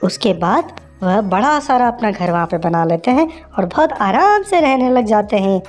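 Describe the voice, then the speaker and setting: A woman's voice: mid-range voice, sing-song, moderate pace. Woman telling a kids' story over music. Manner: simple, conversational storytelling. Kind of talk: children's story narration.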